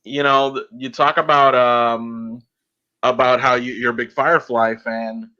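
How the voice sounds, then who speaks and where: Bright-voiced man, slightly muffled recording. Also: mid-range voice, fairly even intonation, a little slow. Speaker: podcast host. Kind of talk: casual podcast chat.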